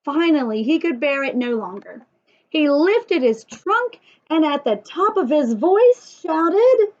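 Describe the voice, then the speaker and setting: Female children's storyteller, high-pitched and unhurried, sing-song and expressive, on a dull lo-fi recording. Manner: expressive, sing-song, asks listeners questions. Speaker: storyteller and teacher for young children. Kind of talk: read-aloud children's story with chatty asides to young viewers.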